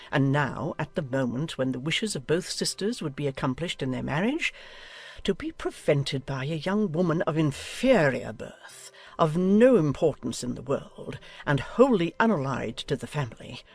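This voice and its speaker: A woman's voice: low-pitched, expressive, normal speed, faint music behind. A woman playing a haughty, domineering older noblewoman in a dramatized audiobook, speaking with imperious, declamatory emphasis. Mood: indignant.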